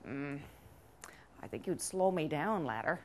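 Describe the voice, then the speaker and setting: A woman's voice: low voice, expressive, unhurried, a little hiss or hum, pitched below the performer's own voice. A woman voicing a proud but kindly storybook duck who quacks and mumbles hesitantly. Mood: hesitant, agreeable.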